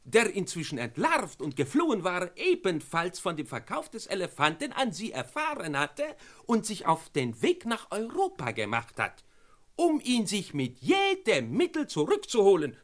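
Pompous maharaja, swooping theatrical delivery. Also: male voice, high-pitched, a bit bright, full character voice. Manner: ceremonious, flowery, pompous. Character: grand, courteous Indian maharaja, imperious and theatrical. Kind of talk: vintage German radio crime play acting.

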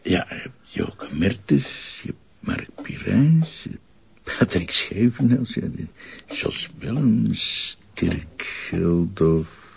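A Flemish man playing an obliging, courteous older witness in a TV crime drama, with a husky voice and a hesitant, halting delivery. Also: low voice, animated, darkish tone, muffled recording, heavily acted. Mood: pondering. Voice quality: husky, creaky.

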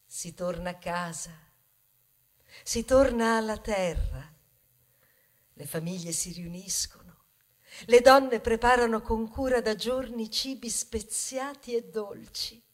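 An actress playing a proud, tender female corn cob in a poetic theatrical monologue, savouring her words with dramatic pauses. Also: mid-range voice. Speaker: stage actress. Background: music.

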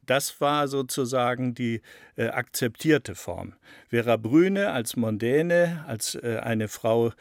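Creaky-voiced man explaining analytically. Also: medium pitch, animated, slow, no room sound, studio quality. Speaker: interviewed expert on a criminal case. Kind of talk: analytical interview answers.

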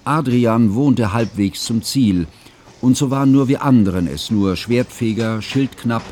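A man acting as an educated, observant narrator in a radio play, speaking in formal, ornate and deliberate phrases. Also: mid-range voice, darkish tone, slight background noise, close to an everyday voice. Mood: measured, observant. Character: educated male first-person narrator, measured, observant friend.

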